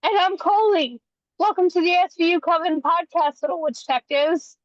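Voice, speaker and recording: High-voiced sniffly woman podcaster. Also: normal speed, dry recording. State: sniffly, runny nose. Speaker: podcast co-host. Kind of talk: casual chat. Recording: slightly dull, lo-fi audio.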